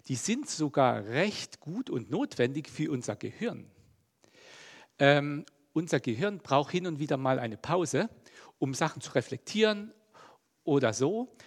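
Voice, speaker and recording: A middle-aged male preacher with a gravelly, fry-edged voice that sits a little high. He talks in a loose, chatty way with frequent fillers, more like a conversation than a formal sermon. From East Germany. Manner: relaxed, chatty, many fillers.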